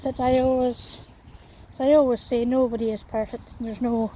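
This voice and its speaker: A Scottish woman vlogging as she walks along an outdoor path in a small town. Her voice is croaky, and her talk is full of fillers and restarts. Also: on the high side, flat delivery, moderate pace, warm tone, a little hiss or hum. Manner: hesitant, many fillers and restarts. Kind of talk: walking vlog chat.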